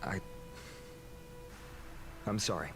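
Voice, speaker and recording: An actor playing a polite, earnest young hero in a video game, speaking in a courteous, sincere way. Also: male voice, drawn-out words, over music. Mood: apologetic.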